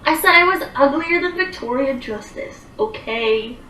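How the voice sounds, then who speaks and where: A woman's voice: high-pitched, expressive, measured pace, sharp tone, reverberant, distant mic, a little hiss or hum, poor audio. A woman in her twenties chatting casually on a livestream, rambling with lots of fillers as she answers viewer comments. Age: 20s.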